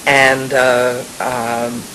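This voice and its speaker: An elderly woman in her eighties recounting childhood memories in a halting, repetitive way. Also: rumbling low, level tone, bright, forceful tone, low-quality recording. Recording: faintly hissy interview recording.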